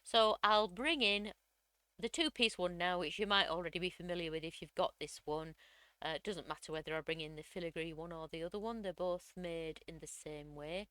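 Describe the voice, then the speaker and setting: A woman, slightly croaky from a cold, chattily walking through a crafting software tutorial step by step. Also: lowish voice, a little fast, no echo. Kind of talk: screen-recorded software tutorial.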